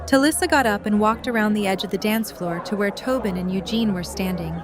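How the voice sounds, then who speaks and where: A woman's voice: mid-range voice, a little fast. A woman reading scripted third-person narration for an audio drama story. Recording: music and party chatter behind. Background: music and crowd chatter.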